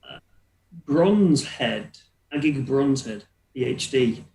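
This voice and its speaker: A man's voice: mid-range voice, animated, takes it slowly, creaky, darkish tone. A man running a tabletop roleplaying game as game master, narrating hesitantly and repeating words as he improvises. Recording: echoey room.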